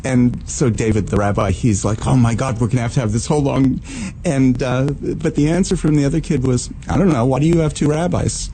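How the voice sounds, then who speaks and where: A man hosting a radio talk show, chatting in a relaxed way with many ums and uhs. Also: medium pitch, a little fast, a little hiss or hum, low-quality recording. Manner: relaxed, with filler "um" and "uh". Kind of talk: radio interview chat.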